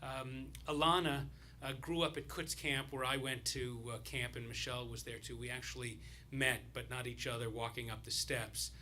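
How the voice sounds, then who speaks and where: A man speaking as a rabbi, telling a loose, rambling story during a service with many pauses and restarts. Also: mid-range voice, fairly even intonation, bright, forceful tone, a little hiss or hum.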